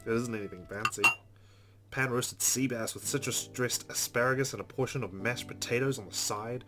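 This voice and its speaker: A man's voice: mid-range voice, moderate pace, over loud music. A man reading visual novel narration aloud as a let's play streamer, with casual asides.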